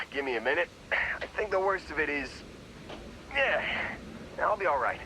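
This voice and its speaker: An actor playing a groggy young space miner in a video game, talking in a wry, rambling, hesitant way. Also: male voice, fairly high pitch, big pitch swings, a little slow, bright, forceful tone, slight background noise, full character voice, rough recording. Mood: sheepish, wry. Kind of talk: video game character dialogue.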